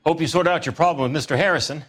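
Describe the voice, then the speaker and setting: A man's voice: a little high, rapid-fire, a bit bright. An actor playing a folksy, wisecracking used car salesman in a 1940s American crime game, with drawling showman's patter. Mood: mocking. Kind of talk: video game cutscene acting, 1940s American setting.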